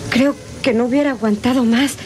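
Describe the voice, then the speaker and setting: A woman's voice: fairly high pitch, fairly quick, background noise, close to an everyday voice. A woman playing a wounded, desperate young daughter in a melodramatic radio serial, weak and gasping. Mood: weary, urgent. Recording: old radio serial with music behind.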